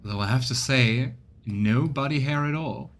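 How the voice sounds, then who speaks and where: A man's voice: mid-range voice, unhurried, slight background noise. A man streaming a game, reading its text aloud as narration and adding casual comments of his own. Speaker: streamer, let's player.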